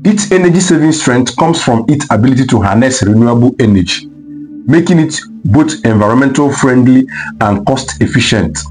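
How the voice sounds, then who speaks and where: A man with a mid-range voice narrates a scripted documentary voice-over. He reads each step in a steady, explanatory way. Faint music plays behind him. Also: mid-range voice, brisk, rough recording. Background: faint background music.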